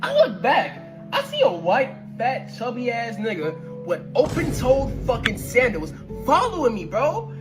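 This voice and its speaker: A man's voice: very high voice, unhurried, hardly any pauses, over music. A man telling a casual, slangy story about one of his videos, acting out the other people's lines as he goes. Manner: animated, slangy, laughs while telling. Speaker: content creator telling a story.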